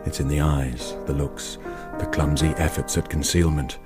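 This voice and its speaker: Very deep brooding narrator over music. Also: male voice, steady tone, moderate pace. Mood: brooding, ominous. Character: brooding, all-knowing male storyteller. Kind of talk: dramatic scripted narration. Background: loud music.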